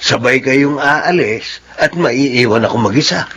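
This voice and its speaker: An actor playing a skeptical, teasing best buddy with mocking disbelief in a Filipino radio drama. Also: male voice, mid-range voice, measured pace, fairly bright tone, breathy edge, highs cut off. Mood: mocking, amused.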